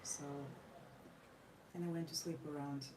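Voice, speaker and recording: A tired woman from Croatia with a Croatian accent, chatting casually while she draws, speaking in measured, formal phrases with frequent pauses. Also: low voice, level tone. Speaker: artist vlogger. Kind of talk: casual chat while drawing. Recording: roomy, distant mic with noisy ambience.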